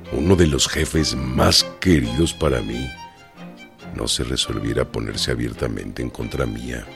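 An actor playing a gruff, commanding Mexican revolutionary general in a radio drama, with a gravelly voice and a Mexican accent. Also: male voice, a bass voice, exaggerated voice. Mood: pained, grave. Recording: music behind the voice.

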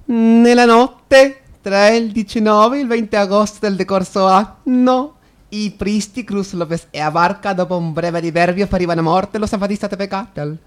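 An actor in an Italian-language radio drama playing an evasive, deferential police inspector who reports in a guarded, halting way. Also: mid-range voice, natural voice. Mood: formal, dutiful.

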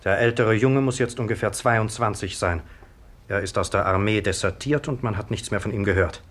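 An insistent police sergeant in a 1950s radio mystery. He sounds official and stern, in a fairly low register. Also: normal speed, a bit bright. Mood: factual, grim. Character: brisk, stern male police sergeant questioning suspects. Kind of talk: 1950s radio play acting.

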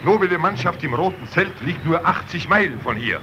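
Casting: man playing loyal, fervent ship's radioman, slightly high voice, old radio play, dull and very noisy recording. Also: expressive, fairly quick, close to an everyday voice. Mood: urgent. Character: earnest, loyal male icebreaker radio operator. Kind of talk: vintage German radio drama acting.

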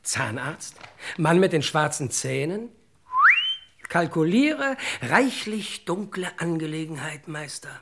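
An actor playing an eager, loyal detective's sidekick, snappy and telegraphic, in an old crime radio play. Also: male voice, fairly high pitch, takes it slowly. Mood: snappy, puzzled. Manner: telegraphic, snappy deadpan answers. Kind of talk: old German radio crime parody acting. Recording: noisy vintage radio play recording.

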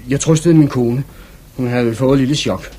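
An actor playing an ordinary working-class husband giving a matter-of-fact witness statement in a 1950s radio drama. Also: male voice, medium pitch, mellow, natural voice.

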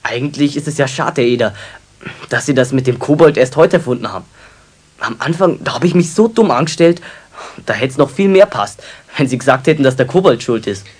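An actor playing an eager, good-natured young carpenter's helper in a children's radio play, chatty and polite, with a Bavarian accent. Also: male voice, medium pitch, animated, brisk, close to an everyday voice. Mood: amused.

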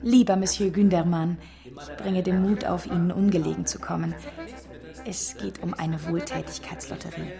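An actress playing a flattering, seductive baroness in a German radio drama, coaxing and sometimes dropping into breathy asides. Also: female voice, lowish voice, moderate pace, over music. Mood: polite, ingratiating.

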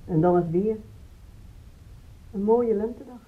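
Female companion: husky voice, composed, frank, thin band-limited radio drama sound. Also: medium pitch, a little slow, phone-quality sound. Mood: gently teasing.

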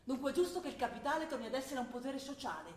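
A woman's voice: sits high, big pitch swings. An actress playing a young idealistic revolutionary student who argues with her father. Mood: fervent. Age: young adult. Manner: earnest, quick, rising to fervent argument. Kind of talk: stage play acting. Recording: roomy stage recording with faint noise.

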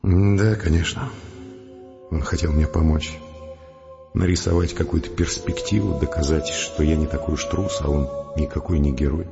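A defeated, bitterly reflective scientist with an extremely deep voice. His sombre audio drama delivery rings in long reverb, with music behind it. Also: normal speed, creaky, muffled recording. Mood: terse, despondent. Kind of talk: audio drama acting and first-person narration.

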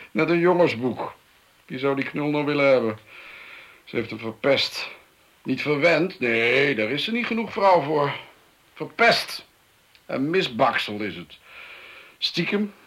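An actor playing a flippant, self-centred, well-off man in a radio play, breezy and callous, with a husky voice. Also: medium pitch, lively intonation, slow, long pauses, a bit bright. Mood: mocking, callous. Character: flippant, callous, well-off male computer expert, divorced father. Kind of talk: Dutch radio play acting.

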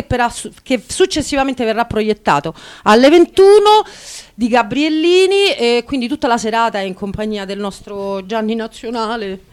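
High-voiced casual woman presenter. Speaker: radio presenter. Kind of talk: casual radio banter and announcements.

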